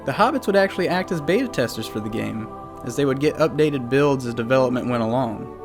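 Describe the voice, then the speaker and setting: A man's voice: medium pitch, lively intonation, fast talker. Wry man narrating over music. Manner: wry, casually joking. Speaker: video series host and narrator. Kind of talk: scripted retrospective narration. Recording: music bed under voice. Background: background music.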